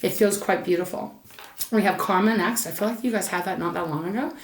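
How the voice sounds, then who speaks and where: A woman's voice: mid-range voice, brisk, roomy sound. Chatty woman with a scratchy voice. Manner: chatty, soothing, addresses listener as Saggy. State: slightly scratchy, stuffed-up voice. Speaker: tarot reader. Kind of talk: casual monthly tarot reading.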